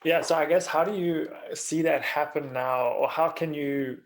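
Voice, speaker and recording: A man's voice: a little high, fairly even intonation, moderate pace. A man hosting a podcast in a casual interview style, feeling his way through his questions.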